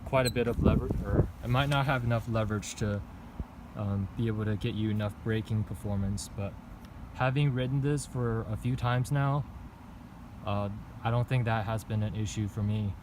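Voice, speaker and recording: A man giving a casual, unscripted walkthrough of his gear in a halting, hesitant way. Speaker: vlogger reviewing own bike setup. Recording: noisy outdoor recording with traffic rumble.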